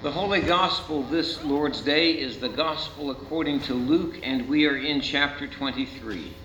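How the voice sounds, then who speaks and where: A man, a pastor, gives a formal Gospel reading with deliberate, solemn delivery. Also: a little high, echoey room, highs cut off. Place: indoors in a church.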